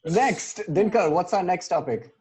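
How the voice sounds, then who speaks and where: A man's voice: sits high, animated, measured pace, darkish tone, husky. A man hosting a podcast in a casual, conversational way. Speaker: podcast host. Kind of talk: casual pop-culture chat.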